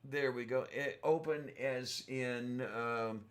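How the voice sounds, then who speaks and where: Hoarse, slow-talking man hosting a stream. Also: medium pitch, fairly even intonation, fairly bright tone, slight background noise. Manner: rambling, halting, many fillers. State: hoarse from a sore throat. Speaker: livestream host. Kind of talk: casual livestream chat.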